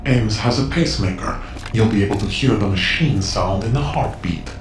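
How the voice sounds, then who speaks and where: An actor playing a mysterious, cold male informant in a video game, measured, cryptic and condescending. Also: lowish voice, natural voice. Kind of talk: dramatic video game voice acting. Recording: echoing space, loud music behind.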